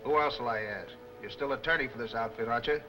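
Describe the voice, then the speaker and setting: An actor plays a pushy, worried job boss from a 1940s serial. He talks quickly and impatiently. Music sits behind him on an old film soundtrack. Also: medium pitch, pushed and bright, close to an everyday voice, rough recording.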